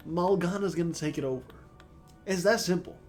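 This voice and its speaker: A man's voice: high voice, fairly quick, soft background music. A man with a sore throat and a stuffy nose, rambling casually as he comments on a strategy game, thinking aloud with lots of fillers. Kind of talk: casual let's-play commentary.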